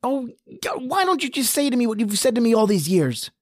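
A man's voice: extremely high pitch, sing-song, a little fast, dry recording, studio quality, pitched above the performer's own voice, exaggerated voice. A man playing a desperate, injured husband trapped underground in a horror radio drama. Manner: pleading, ragged, then defiant.

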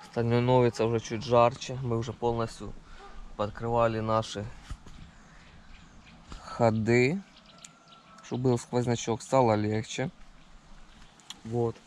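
A man's voice: medium pitch, flat delivery, takes it slowly, pauses often, a little mellow, slight background noise. A man narrating a casual camping vlog outdoors, talking to viewers. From Ukraine. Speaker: camping vlogger. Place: outdoors at a forest campsite.

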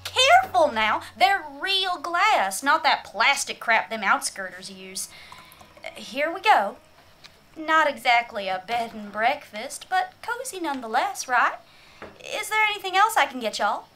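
Casting: actress as folksy, nosy Southern US woman, high bright voice, radio drama, faint noise behind. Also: sing-song, measured pace, heavily acted. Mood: chatty, curious. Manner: folksy, chatty. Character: folksy, chatty, nosy small-town woman bounty keeper.

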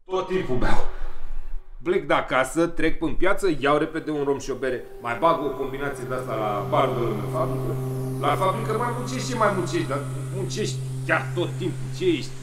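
An actor playing a rough, hard-drinking middle-aged father, blunt and self-justifying, in an audio drama. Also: on the high side, lightly acted. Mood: gruff, defensive. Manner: blunt, colloquial, repeats himself. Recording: echoey reverberant room, background noise.

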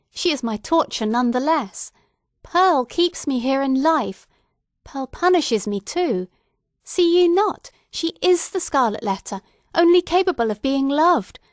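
A woman's voice: high-pitched, no room sound, studio quality, pitched above the performer's own voice. A woman reading the part of a desperate young mother who pleads fiercely, near shrieking, in an audiobook.

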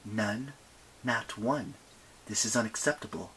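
An imperious goddess of primordial night, performed by a storybook narrator, speaks with a husky edge. She is menacing and quick to take offense. Her words are formal commands, delivered as if from a towering height. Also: medium pitch, fairly bright tone, poor audio. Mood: affronted. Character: huge ancient night goddess, menacing, imperious, easily affronted.

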